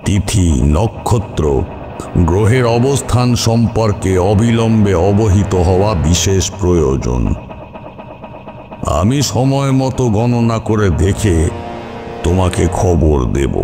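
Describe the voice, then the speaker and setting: Man playing a slow, grave tantric priest. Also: fairly low pitch, animated. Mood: grave warning. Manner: grave, formal, measured counsel. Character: solemn older male tantric priest and exorcist. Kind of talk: dramatic Bengali horror cartoon voice acting. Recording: long reverb, music behind. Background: music.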